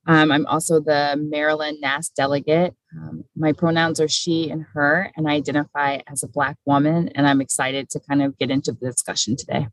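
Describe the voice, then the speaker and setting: A woman's voice: low voice, flat delivery, moderate pace, hardly any pauses, no room sound, poor audio. Woman panelist talking casual, lots of ums, dry close sound. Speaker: school psychologist panelist.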